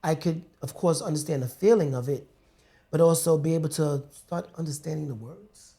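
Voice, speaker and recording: Mellow, chatty male talk-show host. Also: a little high, moderate pace. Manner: colloquial, chatty, rambling questions. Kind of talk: casual interview chat.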